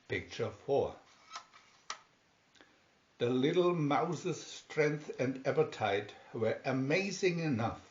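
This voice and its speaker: A man reads a children's storybook aloud at a slow, measured pace. His delivery is deliberate and theatrical, with a medium-pitched voice. The recording sounds roomy and a little muffled. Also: darkish tone. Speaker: storybook reader. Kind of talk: scripted children's story narration, read aloud. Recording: roomy, slightly dull home recording.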